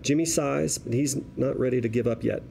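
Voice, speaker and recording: Male stage-directions reader: brisk pace, medium pitch, scripted screenplay narration, slight background noise. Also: creaky, warm tone.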